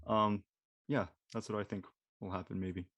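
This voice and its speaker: A man's voice: lowish voice, flat delivery, moderate pace, fairly bright tone, no echo, dull audio. Hesitant young man with a dry, close sound. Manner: hesitant, tentative, searching for words. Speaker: actor on a podcast drama panel. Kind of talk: casual panel discussion.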